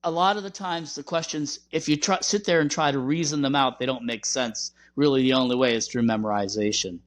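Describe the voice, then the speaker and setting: A man hosting a podcast, explaining things in a measured, conversational way. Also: mid-range voice. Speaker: podcast host.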